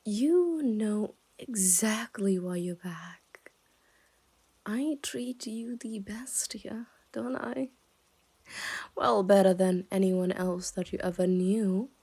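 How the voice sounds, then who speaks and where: Female actor as possessive yandere captor, ASMR roleplay: unhurried, dark soft tone, smug and menacing, slipping into whispers. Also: mid-range voice. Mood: possessive, coaxing. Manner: slow, teasing, drifts into breathy whispers. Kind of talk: ASMR roleplay, addressed to the listener.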